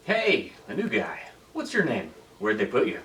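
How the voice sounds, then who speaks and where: A man's voice: fairly low pitch, huge pitch swings, normal speed, fairly bright tone. A man playing a mischievous, friendly henchman in his twenties, breezy and joking, in a comedy script read. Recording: echoey room, distant mic, faint noise.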